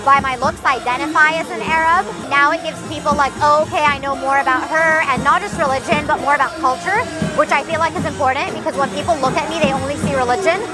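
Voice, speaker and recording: A woman from the United States talks in a personal, thoughtful way in a news interview. Her voice is notably high. Music plays clearly beneath her. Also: steady tone, quick pace, few pauses. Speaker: community member. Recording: music under the voice.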